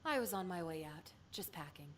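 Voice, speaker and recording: Jaded, expressive older colleague-type school official. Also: female voice, lowish voice, normal speed, slight background noise. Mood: wry, resigned. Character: vain, jaded thirty-nine-year-old woman school official, worldly and frank. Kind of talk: visual novel voice acting.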